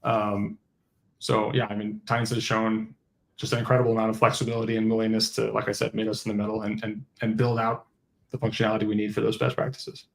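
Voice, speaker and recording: A man's voice: lowish voice, monotone, fast, gravelly. A man speaking as an engineer and guest in a webinar, answering in an informal, explanatory way as if thinking aloud. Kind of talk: webinar interview answers, conversational tech talk.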